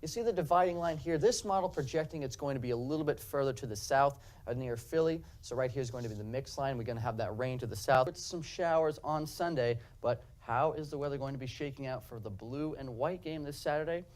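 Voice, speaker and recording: A man's voice: mid-range voice, brisk, hardly any pauses, darkish tone. A young man delivering a broadcast weather forecast in an upbeat presenter style, walking viewers through the map. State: slightly hoarse.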